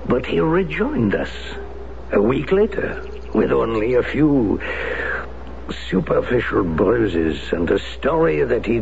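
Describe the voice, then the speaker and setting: Casting: an ex-resistance fighter, gravelly and low, slow and heavy with guilt, old hissy radio sound. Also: male voice, darkish tone, full character voice. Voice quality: gravelly, solemn. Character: grave middle-aged French resistance veteran, guilt-ridden. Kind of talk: 1970s radio drama acting. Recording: dull old radio broadcast with hiss.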